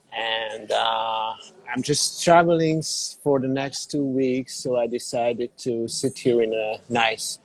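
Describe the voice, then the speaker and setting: Middle-aged Hungarian man, unhurried, dark mellow timbre. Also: mid-range voice, no room sound, poor audio. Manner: unhurried, searching for words in a second language. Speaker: interview guest, digital artist. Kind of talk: casual interview on a call. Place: cafe in a small town.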